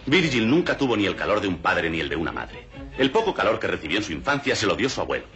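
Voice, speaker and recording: Deep, solemn documentary narrator. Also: male voice, fairly bright tone, dull audio. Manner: solemn, mock-grave newsreel delivery. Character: solemn male documentary narrator with mock-grave gravity. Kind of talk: scripted mock-documentary film narration.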